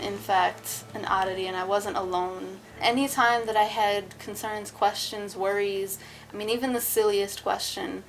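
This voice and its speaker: A woman's voice: medium pitch, a little hiss or hum, rough recording. A woman earnestly reflecting on the support she received during cancer treatment. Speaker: cancer survivor giving a testimonial. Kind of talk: personal testimonial.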